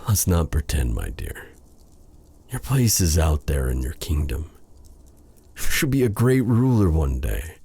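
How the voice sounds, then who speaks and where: A brooding dark sorcerer, acted by a man for a romantic fantasy audio drama. His low, husky voice moves through wide swings of pitch. He speaks intimately and haltingly, with sighs and courtly old-fashioned phrasing, a stern captor with a tender heart. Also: wildly swooping pitch, heavily acted. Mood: grave, resigned.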